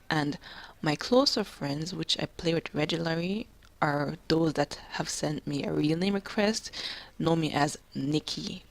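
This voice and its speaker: Low-voiced young woman, soft and halting. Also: creaky. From Jamaica. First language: English. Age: 20s. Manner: soft-spoken, halting, quiet and calm. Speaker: gamer. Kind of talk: personal commentary.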